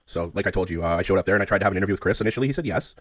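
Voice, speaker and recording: Low-voiced man talking fast on a call. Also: a bit bright, no echo. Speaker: caller, self-described media interviewer. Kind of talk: phone conversation.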